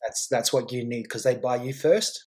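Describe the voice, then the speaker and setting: A man's voice: mid-range voice, moderate pace, a little mellow, husky. A man as a guest on a podcast, explaining his business in a confident, salesy way with frequent repeats and restarts. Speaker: guest entrepreneur.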